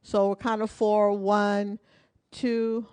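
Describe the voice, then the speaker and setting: A woman's voice: mid-range voice, flat delivery, slow pace, a little mellow, clear, resonant tone. A woman teaching a live online hula class from her home in Hawaii, counting beats and talking through each move in a friendly, encouraging way.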